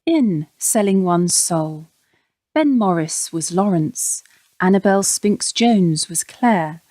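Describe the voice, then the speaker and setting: A woman's voice: on the low side, expressive, slow pace, a little mellow, no room sound. A woman announcer reading scripted credits for an audio production.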